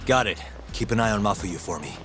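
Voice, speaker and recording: An actor playing a calm, decisive male private detective in a video game cutscene, speaking in a terse, matter-of-fact way. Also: lowish voice, brisk, lightly acted. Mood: focused. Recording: loud music behind the voice.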